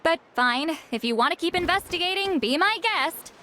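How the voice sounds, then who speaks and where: A woman's voice: very high-pitched, expressive, moderate pace, sharp tone, heavily acted. A woman playing a prickly, reluctant female shaman in an anime game, brusque and grudging. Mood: grudging, irritated.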